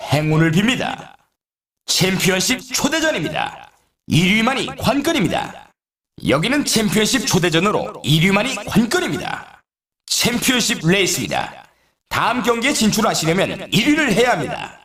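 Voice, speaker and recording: A man voicing an excitable snowboard race announcer in a video game, with polished, showy, emphatic commentary. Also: high voice, full character voice. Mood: emphatic, announcing.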